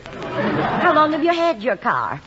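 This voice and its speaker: A kindly, scatterbrained older landlady chatters earnestly in a high voice with plenty of swoop. It sounds like vintage radio comedy, on a dull recording with faint hiss. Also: huge pitch swings, takes it slowly, over a noisy crowd, heavily acted. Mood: earnest, chatty. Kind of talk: old-time radio sitcom acting.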